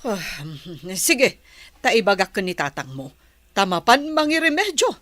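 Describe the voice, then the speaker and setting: A woman's voice: on the high side, very animated, a little slow, a bit bright, dry recording. A woman playing an indignant, proud mother of a poor farming family in a radio drama. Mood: indignant, worried.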